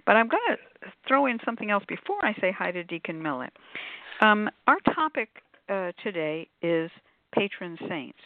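A woman's voice: medium pitch, big pitch swings, moderate pace, dry recording. A woman hosting a talk show, chatting and explaining to listeners in a conversational way with playful asides. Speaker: radio show host. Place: live call-in audio show. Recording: narrow phone-like line.

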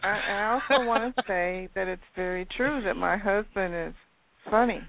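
A woman calling in to a radio show, chatting casually with a deadpan, insistent manner. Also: mid-range voice, normal speed. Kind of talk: casual phone chat. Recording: tinny telephone line.

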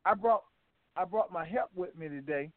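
A man co-hosting a gospel radio talk show as a minister, joking around and calming his co-host in an easygoing way. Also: high voice, moderate pace, dry recording. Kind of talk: casual gospel radio banter. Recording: dry tinny phone-line audio.

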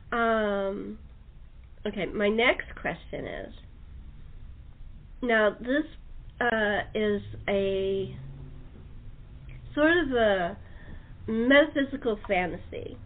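A woman's voice: mid-range voice, extremely slow, long pauses. A woman hosting a casual, informal interview chat about her creative work. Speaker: host, radio-play writer and actor. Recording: muffled phone-line quality.

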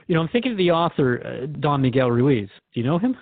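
A male radio host with a mid-pitched voice, heard through dry, phone-like audio. He interviews in a conversational way, curious and a little tentative, thinking aloud as he puts his questions. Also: very animated, fast talker, dry recording.

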